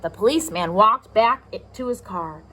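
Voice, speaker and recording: A woman reading a picture book aloud to young children, expressive, with chatty asides to her listeners. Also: medium pitch, normal speed. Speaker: pre-K teacher reading aloud.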